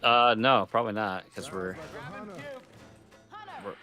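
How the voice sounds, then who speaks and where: A man's voice: on the low side, fairly even intonation, slow pace, music in the background. A man co-hosting a podcast, chatting in a laid-back way with short reactions while gaming. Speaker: podcast co-host. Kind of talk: casual chat while gaming.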